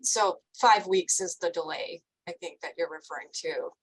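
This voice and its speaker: A woman's voice: lowish voice, moderate pace, husky, poor audio. A woman on staff defending her team's response times in an online meeting, with lots of fillers. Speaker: station staff member. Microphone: video call.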